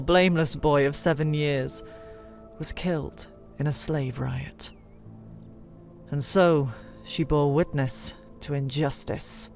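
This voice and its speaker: A woman narrating fantasy lore as a scripted dramatic story, with solemn, theatrical and deliberate phrasing. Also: low voice. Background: music bed.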